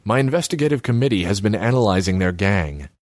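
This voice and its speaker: Pompous male politician for audiobook, acted by a male reader: airy, wordy, self-righteous, with animated pitch and dry sound. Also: quick pace, dry recording, natural voice.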